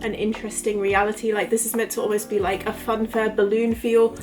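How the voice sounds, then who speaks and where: A woman in her twenties vlogging casually to camera, chatty and rambling, her voice a little croaky from illness. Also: mid-range voice, little pitch movement, brisk, music in the background, poor audio. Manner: chatty, rambling, lots of fillers. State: recovering from illness, voice a bit croaky. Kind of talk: casual talking-to-camera vlog.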